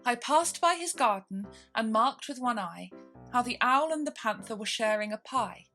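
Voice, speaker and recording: Woman playing a young storybook girl, polite, timid, high pitch, lilting and animated. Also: moderate pace, soft background music. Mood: nervous reciting.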